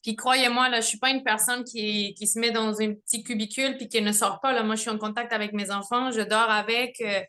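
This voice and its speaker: A woman's voice: a little high, fairly even intonation, a little fast, hardly any pauses, bright, forceful tone. A young woman from Mexico, a mother, giving a chatty testimony from home over a video call, full of fillers and small laughs. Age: young adult. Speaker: testimonial speaker. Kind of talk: casual spoken testimony. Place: home, with children nearby.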